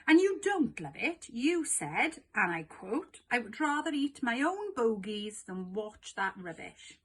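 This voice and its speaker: A woman's voice: fairly high pitch, very animated, measured pace, fairly bright tone, breathy edge, full character voice. A woman voicing a stern, irritable father with a firm, pedantic manner in a storybook. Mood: firm, scolding.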